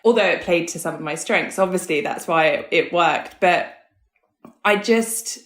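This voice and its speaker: A woman guest, raised in Hong Kong, shares personal stories in a casual, candid way. Her voice is a little on the low side, and the recording is noticeably roomy and echoey. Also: moderate pace. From born in the US, grew up in Hong Kong. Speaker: podcast guest, coach.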